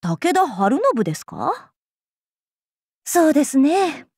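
A battle-loving warrior woman from a fantasy game. Her voice is fairly high and very animated in pitch, yet unhurried. She stays polite and composed, with a playful indignation. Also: dry recording, clean studio sound, full character voice. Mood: playful, indignant. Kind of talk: Japanese mobile game character lines.

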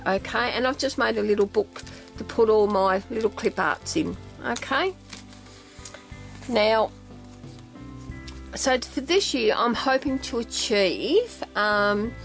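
A woman chatting casually on camera as she shows her craft album, with lots of ums and "okay?" checks. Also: a little high. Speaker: craft vlogger. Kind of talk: casual show-and-tell craft video. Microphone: camera mic at a tabletop, hands only in shot.

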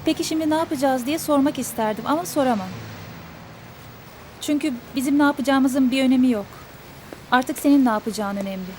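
An actress playing a young servant's daughter who is hurt but firm, speaking quietly and reproachfully in a TV drama. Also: female voice, high-pitched, brisk, a little hiss or hum, natural voice. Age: young adult. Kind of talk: TV drama acting. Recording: TV drama with music score.

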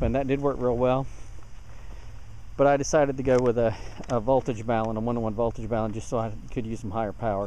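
Male hobbyist demonstrator, average pitch, casual how-to delivery, straightforward and explanatory, outdoor handheld recording with some ambient noise. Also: warm tone, low-quality recording. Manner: plain-spoken, explanatory. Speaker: DIY radio hobbyist demonstrator. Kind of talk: casual hands-on tutorial narration. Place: outdoors in a yard. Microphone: handheld camera mic. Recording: outdoor handheld camera, clear background noise.

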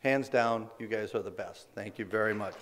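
A hoarse middle-aged man giving an award acceptance speech at a podium microphone, working through prepared remarks with small restarts and fillers. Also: fairly low pitch, normal speed, heavy background noise. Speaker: company leader accepting a business award. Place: large indoor banquet hall stage. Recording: long reverb of a big banquet hall.

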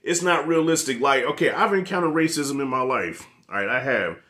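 Profane, animated man with a New York accent. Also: mid-range voice, a little fast. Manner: profane, animated, talks with his hands. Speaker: movie reviewer. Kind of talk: casual review rant. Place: living room with posters.

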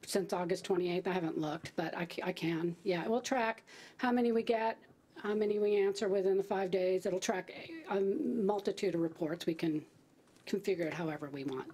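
A woman's voice: medium pitch, normal speed, warm tone, husky. A middle-aged woman at a podium giving a staff briefing to a city council, talking conversationally with fillers and restarts. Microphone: podium microphone.

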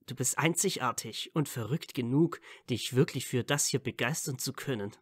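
A woman's voice: very deep voice, moderate pace, slightly rough, no room sound, clean studio sound. A woman playing a shy, awkward teenage boy wizard in a fan audio drama, hesitant and earnest. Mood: earnest admiration. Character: shy, awkward dark-haired teenage male wizard student.

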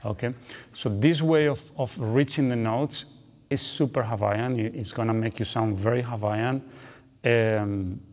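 A man, explaining guitar technique in a relaxed, chatty way, often ending phrases with "okay" and "you know". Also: mid-range voice, unhurried. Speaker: guitar teacher. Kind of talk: instructional explanation between playing. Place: dark studio, seated with guitar on lap. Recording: long reverb, guitar playing between phrases.

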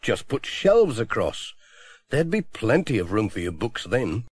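A man's voice: mid-range voice, big pitch swings, unhurried, husky, no room sound. A man voicing a friendly, good-natured village postman in an easygoing, helpful way. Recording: dry close audiobook recording.

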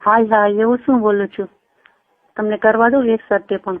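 A woman's voice: mid-range voice, steady tone, moderate pace. Kathiawari-accented woman on tinny phone line. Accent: Kathiawari Gujarati. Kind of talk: casual private phone chat. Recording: tinny phone call recording.

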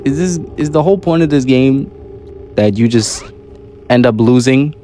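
A man's voice: medium pitch, moderate pace, dark tone, slight background noise. A young man giving casual, joking, exclamatory commentary on a horror game in slangy speech. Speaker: gamer making videos.

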